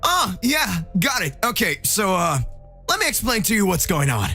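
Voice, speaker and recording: A man voicing a cheerful, excitable young fighter hero, eager and friendly, in an anime fan story. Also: high voice, moderate pace, faint music behind, voice pushed up, exaggerated voice. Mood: sheepish.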